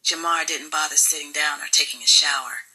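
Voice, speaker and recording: Low, husky, sharp-edged woman narrator. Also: fairly even intonation, brisk, poor audio. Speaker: audiobook narrator. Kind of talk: scripted fiction narration.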